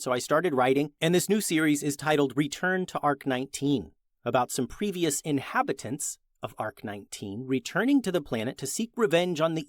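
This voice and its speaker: A man's voice: fairly high pitch, no echo, clean studio sound. A man hosting a children's story podcast, reading scripted narration.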